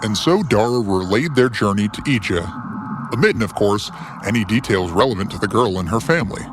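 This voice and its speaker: Animated low-voiced male storyteller. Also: a little fast, noisy background. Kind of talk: scripted fantasy story narration.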